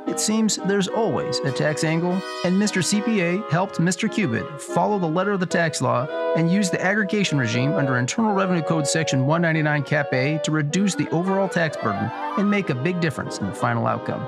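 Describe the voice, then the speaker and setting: Creaky-voiced American noir narrator man. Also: a little high, lively intonation, fairly quick, few pauses, over loud music. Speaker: podcast host playing himself as a detective narrator. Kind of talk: noir radio drama narration, scripted.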